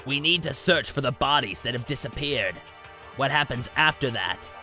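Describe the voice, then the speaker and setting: A stern, stoic student, acted by a man, speaks with a forceful edge. The delivery is terse, serious and protective, in anime game fan dub style over faint music. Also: mid-range voice, expressive, normal speed. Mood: stern, steady.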